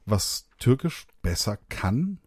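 The man you would hear moderating a literary evening, slightly deep and rough-edged, thinking his questions through at length. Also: very animated, slow, no echo, studio quality. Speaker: interviewer, host. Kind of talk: conversational interview questioning.

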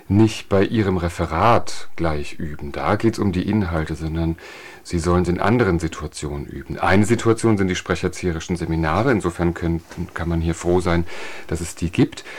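A man with a fairly low voice speaks as a guest in a radio interview. He explains speech practice in a measured, teacherly manner and reaches for everyday examples. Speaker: speech trainer at a university.

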